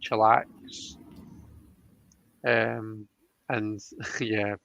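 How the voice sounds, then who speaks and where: A man's voice: lowish voice, little pitch movement, very slow, gravelly, a bit bright, slight background noise, poor audio. Man hosting a casual live reading. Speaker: host of a live tarot card reading. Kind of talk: casual live reading, chatting with guest and viewers.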